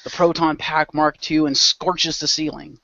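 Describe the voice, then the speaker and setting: A man's voice: fairly high pitch, level tone, measured pace, vocal fry, pushed and bright, no room sound, dull audio. A man acting as game master, narrating improvised scenes for a radio role play.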